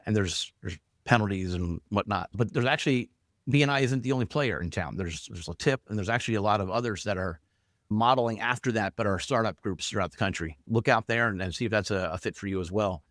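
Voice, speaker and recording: A man's voice: lowish voice, fast, fairly bright tone, dry recording, clean studio sound. Conversational male podcast host. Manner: conversational, repeats words, asks follow-up questions. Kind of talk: casual podcast interview.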